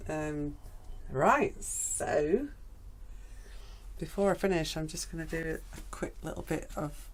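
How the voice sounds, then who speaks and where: Croaky woman, chatty vlogger. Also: low-pitched, takes it slowly. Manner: chatty, rambling, with frequent ums. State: croaky after a cold. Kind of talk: casual gardening vlog chat. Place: garden greenhouse.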